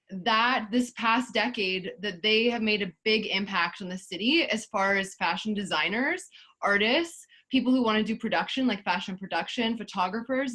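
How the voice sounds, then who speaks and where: A woman's voice: mid-range voice, fairly bright tone. A woman from Canada, a guest in an interview, talking in an easygoing, agreeable way with many "um"s and "yeah"s. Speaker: interview guest, festival founder. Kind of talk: casual interview chat.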